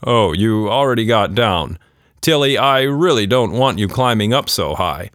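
A man's voice: on the low side, lively intonation, a little slow, a bit bright, no echo, studio quality. A man voicing a flustered, caring father who speaks hurriedly and stammers.

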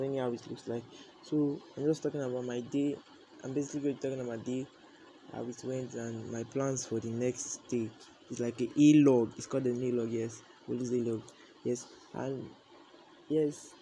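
A young man talks through an informal audio diary in a very relaxed, dark-toned voice. His intonation stays quite flat as he wanders and circles back over his thoughts. There is faint noise behind him on this amateur recording. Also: fairly high pitch, low-quality recording. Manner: rambling, repetitive, thinking aloud. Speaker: audio diarist. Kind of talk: casual, unscripted spoken diary.

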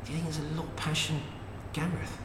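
A man's voice: quick pace, background noise. A middle-aged man, co-hosting a casual chat and telling anecdotes. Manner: chatty anecdotal storyteller, lively swings in pitch. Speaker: podcast co-host. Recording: echoey room with long reverb.

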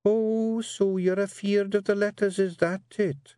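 A man reading an audiobook, voicing a sharp, clever female pixie clan matriarch with a coaxing, brisk manner. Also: high voice, steady tone, smooth, no echo, clean studio sound, pitched above the performer's own voice. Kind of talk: audiobook character voice.

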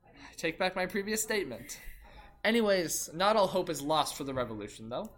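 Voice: medium pitch, expressive, a little fast, a bit bright, natural voice. A performer playing an earnest young male French colonist who hosts a history roleplay podcast, a bit awkward and fond of puns. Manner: earnest, slightly awkward, laughs at own jokes. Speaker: podcast host. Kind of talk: amateur scripted roleplay.

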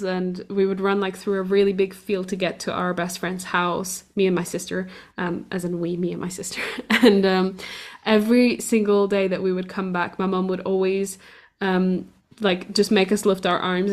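A woman's voice: medium pitch, little pitch movement, moderate pace. A young woman from Portugal chatting casually, rambling with lots of fillers and restarts. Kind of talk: casual conversation.

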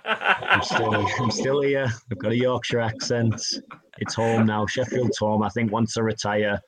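A man, telling stories from his career in a relaxed interview, often acting out what others said to him. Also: on the low side, measured pace, very noisy. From Northeast England. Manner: chatty, self-deprecating, quotes others in dialogue. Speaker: guest, hockey player. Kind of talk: casual interview storytelling.

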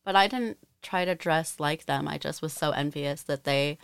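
A young woman co-hosting a podcast, speaking softly and hesitantly, often trailing off. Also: low voice, normal speed, gravelly, clear, resonant tone, dry recording. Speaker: podcast co-host. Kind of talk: casual chat.

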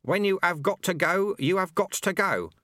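Elderly storybook monk, voiced by a male narrator: raised pitch, serene, wry, deadpan sage. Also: moderate pace, no room sound, clean studio sound. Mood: sagely deadpan. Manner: sage, deadpan, quoting proverbs.

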